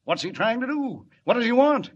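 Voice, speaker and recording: An actor playing an anxious, gruff, exasperated city commissioner in 1940s radio drama style. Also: male voice, very high-pitched, sing-song, moderate pace, full character voice. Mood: exasperated, alarmed. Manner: emphatic, exasperated, repeats himself. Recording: dull vintage radio recording.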